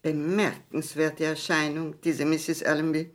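An actress playing a stern, disapproving older aristocratic matron in a 1960s German radio play, haughty and pointed. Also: female voice, sits low, flat delivery, measured pace.

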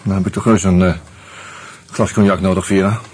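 An actor playing an uneasy murder suspect in a radio play, musing and hesitant, with a wry streak. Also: male voice, deep voice, level tone, fairly quick, darkish tone, a little hiss or hum, lightly acted. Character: uneasy male murder suspect narrating his own investigation. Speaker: actor and first-person narrator. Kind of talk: Dutch radio play, reflective narration and dialogue.

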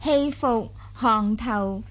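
Young household mistress, high-pitched, shrewd, lively, smooth-tongued, Cantonese audio drama. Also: female voice, drawn-out words. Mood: confiding. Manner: chatty, explaining, smooth-tongued.

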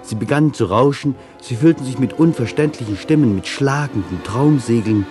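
A man reading a literary story as dramatic narration, in a deliberate and intense storytelling manner. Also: mid-range voice, darkish tone. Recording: music behind the voice. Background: music.

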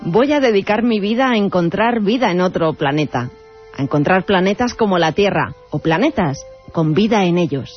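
A woman's voice: on the low side, lively intonation, normal speed, natural voice. A woman voicing a dedicated female scientist in a scripted radio voice-over. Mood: determined. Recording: slightly dull audio over music.

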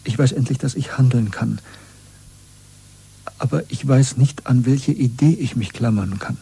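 An actor playing an earnest, troubled rebel searching for belief in a German radio play, with halting, intense delivery. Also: male voice, medium pitch, vocal fry, warm tone, husky, heavily acted, low-quality recording. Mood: yearning, troubled.

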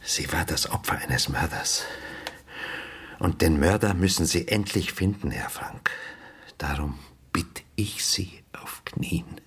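An actor playing an older widowed father, haunted and insistent in his grief, speaking haltingly and hushed in a husky, creaky voice in a radio drama. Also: deep voice, exaggerated voice. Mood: anguished pleading. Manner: halting, hushed, often near a whisper.

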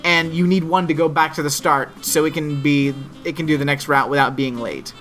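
Guy ranting on a podcast, bright forceful voice, lots of ums and swearing. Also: fairly high pitch, fairly quick, faint music behind. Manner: animated storytelling, frequent swearing and ums; trained projecting voice. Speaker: podcast co-host. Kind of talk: casual ranting chat. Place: Orlando area.

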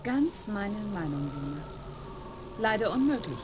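A woman's voice: medium pitch, sing-song, a little slow, background noise. A woman playing a cool, sceptical companion of a detective in a German sci-fi radio play.

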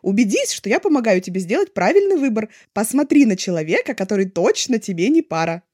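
Disapproving uncle played by a woman, formal and lecturing, soft-edged tone, audiobook read. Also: medium pitch, sing-song, fast. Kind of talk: audiobook character voice.

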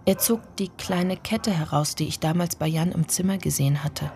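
A woman narrating a literary radio drama in the first person, in measured, deliberate phrases. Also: on the low side, darkish tone. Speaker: actor narrating.

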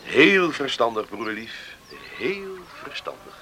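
An actor playing a hearty, approving young country brother in a Dutch radio drama, speaking emphatically. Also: male voice, medium pitch, big pitch swings, slow, sharp tone, slight background noise, full character voice. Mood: confiding.

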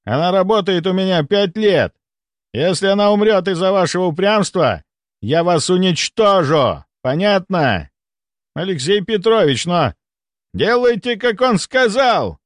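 A man's voice: sits high, big pitch swings, a little slow, no room sound, clean studio sound, pitched above the performer's own voice. A man voicing an angry, commanding count who barks threats and orders in an audiobook story. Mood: furious, commanding.

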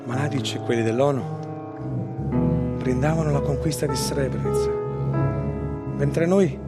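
A woman performing a theatre monologue as a grieving, traumatized Bosnian war survivor, anguished and halting, repeating her phrases. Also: rumbling low. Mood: bitter. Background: music.

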